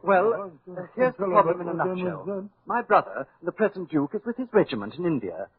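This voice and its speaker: An actor playing an agitated middle-aged lord, anxious and melodramatic, in 1940s radio acting style. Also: male voice, high-pitched, expressive, fairly quick, slight background noise. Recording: muffled old 1940s radio recording.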